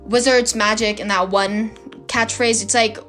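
A woman's voice: a little high, fairly even intonation, unhurried, fairly bright tone, faint music behind. A teenage girl hosting her own podcast, talking in a chatty, dramatic, self-mocking way into her phone, her voice a little worn. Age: teens. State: slightly losing her voice. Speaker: podcast host. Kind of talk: casual solo podcast chat.

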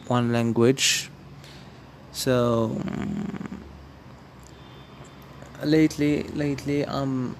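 Very slow, hesitant man. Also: mid-range voice, slight background noise. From Morocco. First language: Amazigh. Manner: hesitant, searching for words, many restarts. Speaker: vlogger. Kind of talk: casual spoken monologue to viewers.

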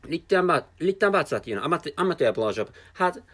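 A man's voice: a little high, sing-song, a bit bright. A man with a Japanese accent, a native Japanese speaker, talking alone on his own podcast and repeating words as he searches for them. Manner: halting, many restarts and repeated words. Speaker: podcast host. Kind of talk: casual solo podcast monologue. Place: at home, drinking a canned drink while recording.

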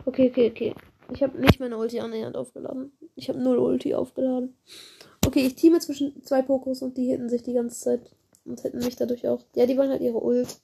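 A woman with a slight cold, giving excitable, chatty commentary on her own gameplay, swearing and apologizing as she plays. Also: sits high, fast, gravelly, noisy background. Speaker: gaming video creator.

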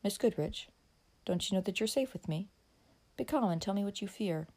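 A woman voicing a calm, protective young gentleman in an audiobook, speaking in a steady, matter-of-fact way. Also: medium pitch, moderate pace.

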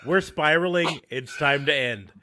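A man's voice: on the high side, a little slow, bright, forceful tone. Male podcast co-host, wry, casual summing-up delivery.

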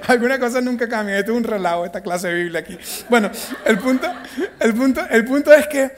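A Venezuelan man teaching a lively Bible class, joking and acting out the characters as he tells the story. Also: extremely high pitch, fairly quick. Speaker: church teacher.

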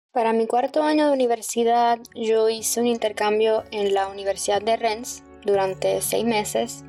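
A woman's voice: mid-range voice, darkish tone. Chatty Puerto Rican woman in her twenties. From Puerto Rico. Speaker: guest sharing her experience. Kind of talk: casual personal storytelling.

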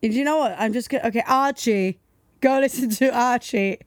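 A woman's voice: on the high side, brisk, dry recording. A young woman with a non-native English accent co-hosting a podcast in a rambling, giggly, tipsy chat. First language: not English. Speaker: podcast co-host. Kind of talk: casual chat.

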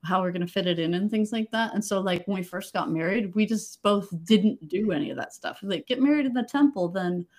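A woman's voice: mid-range voice, a little fast, few pauses, a little mellow. A woman co-hosting a podcast, recounting her early married life in a chatty way with frequent "like" and light laughter. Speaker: podcast co-host. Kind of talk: casual podcast chat.

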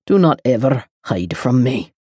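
A woman voicing a brooding, possessive man, speaking in a low, slow, commanding murmur. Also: mellow, husky, no room sound, clean studio sound, exaggerated voice. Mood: possessive, hushed. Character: brooding possessive man, dark, ageless lover. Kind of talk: audiobook character voice.

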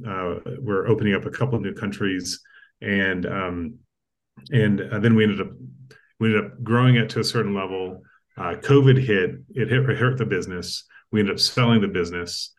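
A man from East Africa with a low, deep voice tells his background as an interview guest. He speaks in a measured way, pausing on ums and restarting phrases, heard over a video call. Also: low-quality recording. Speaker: guest, tech entrepreneur. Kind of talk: conversational interview storytelling.

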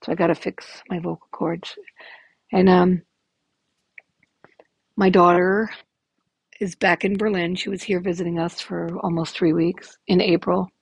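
A woman in her fifties with a raspy, gravelly, hoarse voice, talking candidly and rambling with lots of ums in a casual podcast update. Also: fairly low pitch, little pitch movement, a little slow, pauses often, no echo, rough recording. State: hoarse from illness. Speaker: podcast host.

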